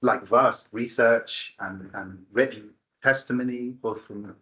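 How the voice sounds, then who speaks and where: A man talks about writing fiction on a book-event panel, measured and reflective in manner. His voice sits at an ordinary middle pitch for a man. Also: fairly even intonation, fairly bright tone, rough recording. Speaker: novelist.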